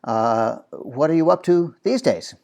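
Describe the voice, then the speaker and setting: A man's voice: on the high side, lively intonation, a little slow, vocal fry, darkish tone. A man hosting an interview, putting questions to his guest and introducing what comes next. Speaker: host, interviewer.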